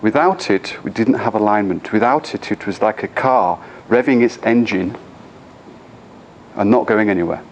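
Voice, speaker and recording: A man's voice: fairly low pitch, normal speed, a little hiss or hum. A middle-aged man from England with an English accent, giving a conference talk and often checking in with the audience. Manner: engaging, asks the audience frequent questions. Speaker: conference speaker. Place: conference room.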